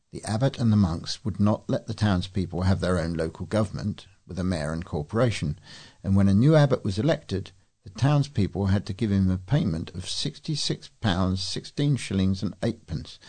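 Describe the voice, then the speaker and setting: Male, volunteer local newsreader, deep and slightly rough-toned, delivering prepared articles. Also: darkish tone. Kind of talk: scripted news reading.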